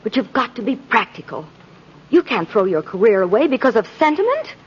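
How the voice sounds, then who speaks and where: An actress playing a practical, caring sweetheart, earnest and gently reproving, in 1950s radio drama style. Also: female voice, fairly high pitch, big pitch swings, fairly quick, breathy edge. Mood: earnest pleading. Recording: dull old 1950s radio recording.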